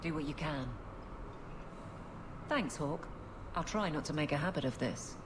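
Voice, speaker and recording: An actress playing a stern, no-nonsense captain of the guard in a video game, speaking in curt, clipped sentences. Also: female voice, deep voice, unhurried, background noise. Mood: brisk, dismissive. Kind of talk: video game dialogue acting.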